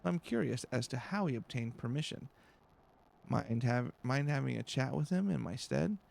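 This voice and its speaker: A man's voice: mid-range voice, expressive, moderate pace, creaky, a little mellow. A man voicing a proud, nervous female scholar from a fantasy game, formal and prone to stammering. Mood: chatty, haughty.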